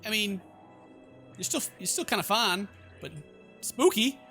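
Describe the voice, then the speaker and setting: A man's voice: high-pitched, animated, measured pace, pushed and bright, slightly rough, soft background music. A man hosting a let's play, reading game text aloud with informal, playful, exclamatory asides. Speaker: gaming video host. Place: indoors at home.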